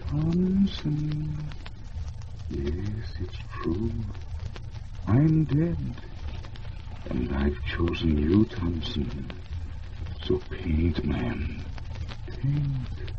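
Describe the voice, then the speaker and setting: A commanding ghost from the tomb, very slow and low, with big swings in pitch. The delivery is theatrical and solemn, in the manner of a 1950s radio play, on a dull, noisy old recording. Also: male voice, big pitch swings, music in the background, exaggerated voice. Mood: solemn, urging.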